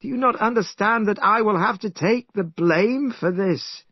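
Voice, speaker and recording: Man putting on a cranky old monk teacher, way higher, lecturing, dull dry audio. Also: moderate pace, no room sound. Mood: scolding. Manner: lecturing, exasperated. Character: stern scolding elderly male monk master.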